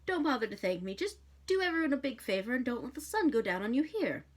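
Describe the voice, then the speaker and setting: A woman's voice: high-pitched, sing-song, fast talker, pitched above the performer's own voice. Storybook angel, male, acted by a woman: fussy, well-meaning, worried, earnest and hesitant. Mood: flustered.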